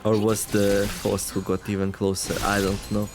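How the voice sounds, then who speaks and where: Low voiced guy rambling thru a tactics game, kinda raspy, corrects himself a lot, faint music behind. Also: monotone, moderate pace. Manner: rambling, self-correcting, wry asides. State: voice getting raspy from recording all day. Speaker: gameplay commentator. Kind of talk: let's play commentary, reading game text aloud.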